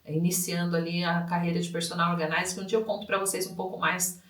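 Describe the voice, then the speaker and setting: A woman's voice: fairly low pitch, little pitch movement, fast talker. A woman from São state, co-hosting a podcast and telling her family's story in a chatty way, checking in with tag questions. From Brazil, São Paulo state. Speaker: podcast co-host. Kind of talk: casual conversational storytelling. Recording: echoey room, mic at a distance.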